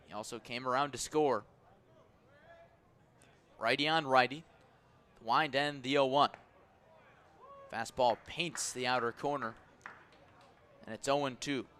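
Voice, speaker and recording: A man calling baseball play-by-play on the radio in measured, descriptive, clipped phrases. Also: mid-range voice, steady tone, fairly bright tone. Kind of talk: radio sports commentary.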